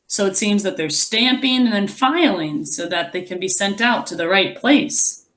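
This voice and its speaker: A woman reading a picture book aloud as a teacher, expressively, pausing to explain and think aloud for young children. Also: mid-range voice, animated, a bit bright.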